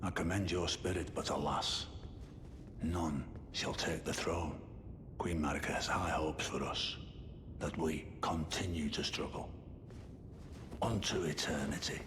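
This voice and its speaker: Very deep, fatalistic old scholar-warrior. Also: male voice, steady tone, echoey room, in a large hall, background noise. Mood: grave resignation. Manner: measured, solemn, theatrical delivery. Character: grave, fatalistic older male scholar-warrior barring the way. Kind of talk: fantasy game cutscene acting.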